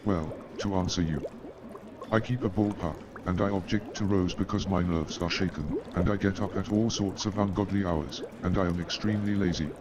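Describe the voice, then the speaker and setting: A man playing a polite, reserved gentleman in a staged radio play, measured and formal in his speech. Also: low voice, fairly even intonation, close to an everyday voice. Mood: amiable, dry. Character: polite, reserved gentleman with shaken nerves, seeking lodgings. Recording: muffled and noisy, watery background sound.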